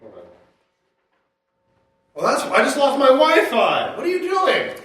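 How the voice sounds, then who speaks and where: Impatient complainer played by a man, crude and blunt, fairly high voice, off-mic in a very echoey room. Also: huge pitch swings, measured pace, a bit bright, full character voice. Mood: grumpy, impatient. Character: grumpy, impatient, crude comedy writer. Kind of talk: scripted live comedy sketch. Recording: very echoey, distant, faintly noisy room.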